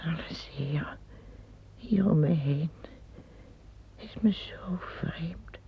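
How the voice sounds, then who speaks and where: An actress playing an old, tired empress in a radio play, speaking in a breathy, hushed voice that often sinks to a whisper. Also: female voice, low-pitched, very slow, slight background noise. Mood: weary. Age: older. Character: old weary empress, fearful and plain-spoken. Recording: tinny lo-fi old radio recording.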